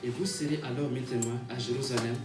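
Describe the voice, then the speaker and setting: A man's voice: medium pitch, fairly even intonation. A man preaching a sermon and reading scripture, repeating phrases in a rhythmic way and punctuating them with amens. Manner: halting when reading aloud, repeats and rephrases phrases; rhythmic repetition, calls for amens. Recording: echoey room, distant mic, music behind.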